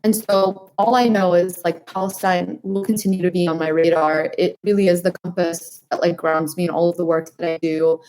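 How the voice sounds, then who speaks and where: Young woman from new hampshire, podcast guest, kinda low voice, says "like" a lot, earnest. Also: steady tone, moderate pace, few pauses, mellow, rough recording. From New Hampshire, United States. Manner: earnest, uses many fillers like "like". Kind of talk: interview answers.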